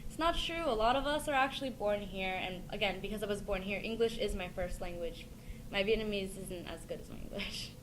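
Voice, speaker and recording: A woman's voice: mid-range voice, a little fast. Hesitant young woman, noisy recording. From United States. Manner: thoughtful, hesitant, fillers like "I mean" and "like". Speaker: interviewee. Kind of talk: casual interview answers. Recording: noisy recording with ambient hiss.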